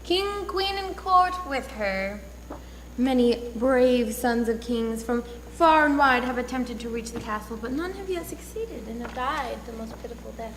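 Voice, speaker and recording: Old storybook man with grave warnings, played by a woman, high voice, clearly noisy echoing stage. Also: lively intonation, unhurried, a bit bright. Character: old man earnestly recounting a forbidding legend. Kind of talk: readers theatre stage reading. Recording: echoey noisy hall.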